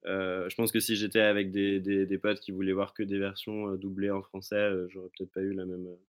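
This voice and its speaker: Deep-voiced young man, rambling guest. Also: flat delivery, a little fast. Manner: rambling, many fillers and restarts. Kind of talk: casual remote chat. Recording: remote call audio.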